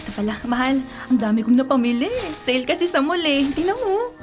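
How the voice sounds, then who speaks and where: A woman's voice: high voice, a little fast, over music, natural voice. An actress playing a self-centred, sweet-talking young girlfriend in a Filipino radio drama, with a Filipino accent. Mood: gushing, excited. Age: young adult. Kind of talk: Tagalog radio drama acting.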